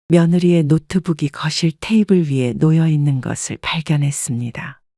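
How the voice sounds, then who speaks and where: A woman narrating a first-person family story in a measured, subdued reading. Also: sits low, dark tone, dry recording, clean studio sound.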